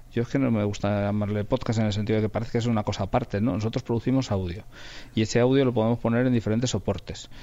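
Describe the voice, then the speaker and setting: Deep-voiced man from a muffled interview, Spanish accent. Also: dark tone. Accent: Spain. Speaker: interview guest, radio executive. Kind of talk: thoughtful spoken interview answers. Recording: dull, muffled lo-fi sound.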